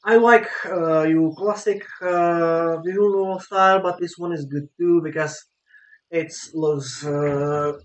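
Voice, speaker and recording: Slow, high-voiced man, reviewer. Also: steady tone. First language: a language other than English. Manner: halting and repetitive, searching for words. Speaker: video game reviewer. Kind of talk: casual unscripted review commentary. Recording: roomy echo, music playing behind. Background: soft guitar game music.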